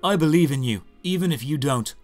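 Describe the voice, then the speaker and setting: A man's voice: fairly high pitch, expressive, a little fast, darkish tone, faint music behind, natural voice. A man voicing an earnest, encouraging young stable hand from a video game. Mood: earnest encouragement.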